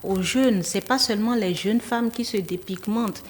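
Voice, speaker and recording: A woman speaks as a dermatologist giving advice on a TV show. Her voice is mid-pitched, and she pauses on fillers before making her points. There is faint background noise. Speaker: dermatologist guest. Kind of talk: expert explanation.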